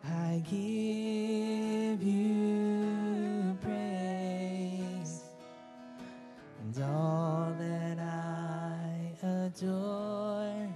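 A woman leading worship, singing slow, drawn-out phrases on a church stage into a handheld mic. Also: medium pitch, fairly even intonation. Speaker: worship leader. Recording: reverberant sanctuary, live guitar.

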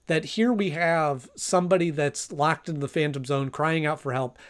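Slightly high-voiced guy reviewing. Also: moderate pace. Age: 30s. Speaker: video host and reviewer. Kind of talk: casual episode review to camera. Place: small home room, indoors.

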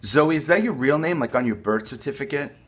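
A young man making a prank phone call from a room at home, asking questions in a deadpan, mock-earnest way. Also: mid-range voice, brisk, husky, faint music behind. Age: young adult. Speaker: prank caller, video host. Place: small furnished room at home, indoors.

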